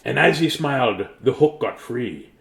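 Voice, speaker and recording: A middle-aged man hosts a bedtime story reading. His mid-pitched voice swings up and down expressively and drops into gravelly fry, with a silly, jokey manner and sing-song cadence. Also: lively intonation, a little slow, a bit bright, a little hiss or hum. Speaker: storyteller and host. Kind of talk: playful bedtime story reading with joking asides.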